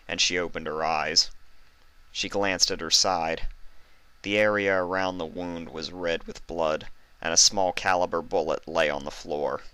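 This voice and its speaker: A man's voice: low voice, bright, forceful tone, dry recording. A man reading fan fiction aloud as scripted narration.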